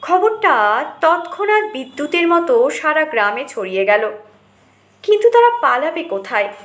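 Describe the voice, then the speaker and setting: A woman with a high voice reads a dramatic story as an audiobook narrator. Her delivery is expressive and theatrical. The recording is roomy, with a noticeable echo around the voice. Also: normal speed.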